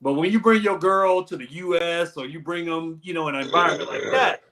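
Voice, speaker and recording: A man telling stories in a casual chat, animated and slangy, calling listeners bro. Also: a little high, fairly quick, noisy background.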